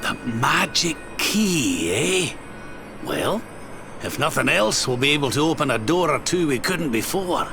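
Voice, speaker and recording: Practical, musing adventurer for a video game, creaky voice with lively swoops. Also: male voice, fairly high pitch, measured pace, exaggerated voice. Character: wry, practical male adventurer. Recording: game music behind the voice.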